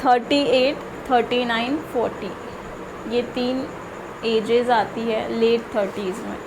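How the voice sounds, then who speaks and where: A woman teaching a spoken English lesson, mixing in English example sentences that she reads out slowly and carefully. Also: a little high, fairly even intonation, background noise. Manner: slow, deliberate example sentences with frequent "theek hai".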